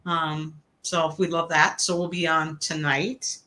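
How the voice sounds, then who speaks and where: A woman in her forties co-hosting a casual interview, laughing often and filling pauses with "um.". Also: low-pitched, little pitch movement, sharp tone. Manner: laughs often, many filler ums. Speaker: podcast co-host.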